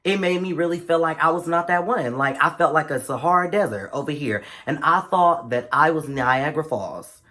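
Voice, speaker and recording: A young man with a cold tells a story straight to his viewers. His voice is stuffy and congested. He talks in a lively, slangy, playful way. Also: on the high side, moderate pace, hardly any pauses. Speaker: vlogger. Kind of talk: casual storytime vlog.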